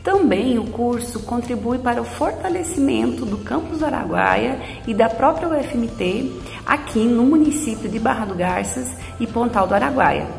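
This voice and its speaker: Woman presenting a pharmacy course, formal and steady, gravelly, echoey room w/ long reverb. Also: on the high side, moderate pace, faint music behind. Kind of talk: prepared institutional talk.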